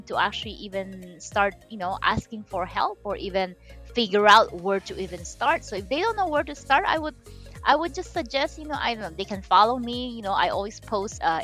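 A woman talks money as a financial coach in a relaxed interview. She sounds animated and chatty, restarts her phrases and often says "you know". Her pitch sits in the middle, with faint music behind. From Philippines. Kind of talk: casual interview chat.

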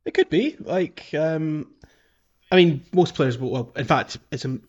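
A man's voice: on the high side, unhurried, muffled recording. A man co-hosting a casual gaming podcast, talking in a thoughtful, rambling way with some hedging. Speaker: podcast co-host.

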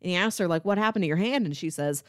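A woman's voice: on the low side, rapid-fire, dry recording, studio quality. A woman co-hosting a film podcast, talking through a movie in casual, conversational style.

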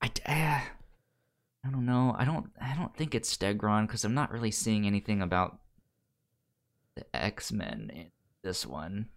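Podcast cohost, mid pitch, casual comics chat, stutters and repeats bits of words a lot. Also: lively intonation, measured pace, long pauses. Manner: stammers, often repeating sounds and words. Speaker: podcast co-host.

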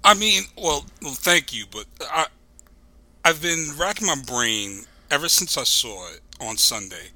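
A man's voice: a little high, lively intonation, measured pace, bright, forceful tone. A man who is a podcast guest, telling a story casually in a rambling, digressive way.

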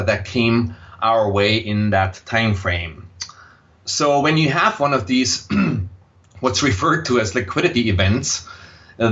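A man in his sixties with an Austrian German accent, answering interview questions in a measured, thoughtful way with frequent fillers. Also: lowish voice, a bit bright. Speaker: guest, impact investor. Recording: slightly dull lo-fi audio.

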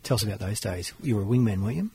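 Male host, brisk, upbeat and friendly, slightly low voice. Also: darkish tone, slight background noise. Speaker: interviewer host. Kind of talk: business interview.

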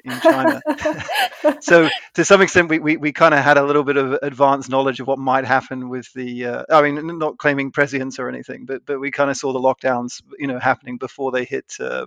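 A man's voice: medium pitch, fairly quick, vocal fry, a bit bright, dry recording. A man answering interview questions as a company founder, talking with frequent repeated words and restarts. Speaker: CEO and co-founder, guest.

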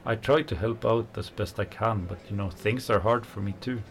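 A furious socialist kitchen worker. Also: male voice, on the low side, normal speed, vocal fry. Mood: bitter. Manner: heated, scornful and swearing. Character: angry working-class male kitchen worker, a fiery socialist. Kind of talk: radio play acting.

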